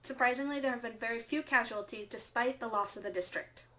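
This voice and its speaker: A woman playing a measured, matter-of-fact resistance co-host in an audio drama styled as a scripted radio broadcast. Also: a little high, a bit bright, lo-fi sound, close to an everyday voice. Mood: defensive. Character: matter-of-fact female resistance co-host, mild but testy.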